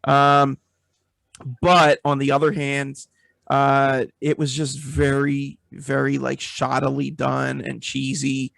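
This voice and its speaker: A man's voice: medium pitch, monotone, slow pace, creaky, a bit bright, no echo. A man hosting a casual film review chat, speaking in an opinionated, emphatic way. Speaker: podcast host.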